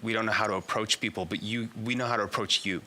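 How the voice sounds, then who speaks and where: A man who grew up in England and Saudi Arabia, a business strategist, answering interview questions haltingly with many restarts. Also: fairly low pitch, fairly even intonation, sharp tone. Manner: halting, full of restarts and fillers.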